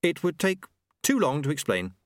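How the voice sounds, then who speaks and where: Man doing a crisp, wry young woman. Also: on the high side, very animated, moderate pace, no echo, clean studio sound, voice pushed up. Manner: crisp, matter-of-fact, wry. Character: brisk practical young woman, dry-witted.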